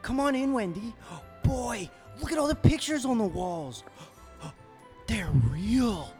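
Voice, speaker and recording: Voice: medium pitch, lively intonation, slow, darkish tone, soft background music. A performer playing a bold, wide-eyed young boy in a 1950s radio drama. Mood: excited, amazed.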